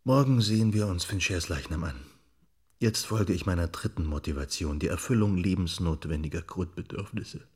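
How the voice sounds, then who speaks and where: A reflective, anxious journalist in a radio drama. His voice is low and rough-edged, his delivery measured and dry. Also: darkish tone. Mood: dry, assured. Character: thoughtful, anxious male journalist, candid and dryly articulate.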